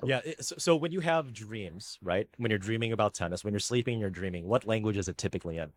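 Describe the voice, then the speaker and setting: An American man with a fairly low voice hosts a casual conversation. He asks question after question and trips over words as he goes. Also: animated, quick pace. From United States. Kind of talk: casual interview chat.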